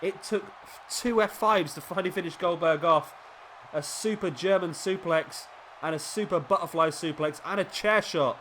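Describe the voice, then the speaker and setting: Gamer commentator: man, slightly high voice, a bit sniffly from a cold, excitable play-by-play, clear background noise. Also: normal speed. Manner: excitable, rising during big moves. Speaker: gaming YouTuber commentating a wrestling game.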